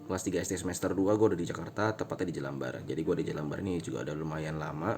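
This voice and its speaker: A man's voice: very deep voice, racing, a little mellow. A man in his late twenties from Indonesia chatting casually in a personal motovlog, rambling, correcting himself and chuckling at his own jokes. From Indonesia, born in Medan. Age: late 20s. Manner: informal Jakarta slang. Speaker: vlogger. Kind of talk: casual personal vlog talk. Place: riding on a motorbike through traffic, out of doors. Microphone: motovlog helmet camera.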